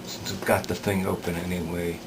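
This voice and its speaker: A man giving unscripted workbench commentary as a repair hobbyist, hesitant and halting as he thinks aloud. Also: low voice, flat delivery. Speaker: radio repair hobbyist demonstrating work. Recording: clear background noise.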